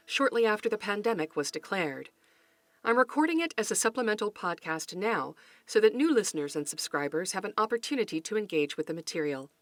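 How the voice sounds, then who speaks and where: A woman's voice: medium pitch, no room sound. A woman hosting an educational podcast, reading a script in an earnest, articulate manner with formal phrasing. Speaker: podcast host and folklore researcher.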